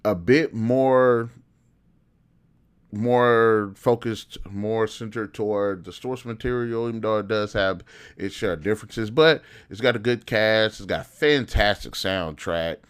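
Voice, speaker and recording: A man's voice: lowish voice, measured pace, clear, resonant tone. A man, around his thirties, giving casual unscripted commentary as a video host, in a rambling, opinionated, conversational way. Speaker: video host reviewing video game films.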